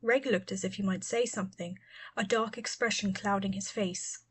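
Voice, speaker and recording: A woman narrates an audiobook from a script. Her voice sits at a middling pitch as she reads the story. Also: steady tone, normal speed, husky.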